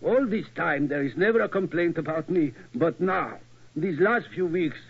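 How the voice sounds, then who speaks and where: A man playing an aging, deferential German tower keeper with a German accent, halting and pleading, in 1940s radio acting. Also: on the high side, expressive, gravelly, slightly rough, heavily acted. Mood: troubled. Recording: dull, lo-fi old radio recording.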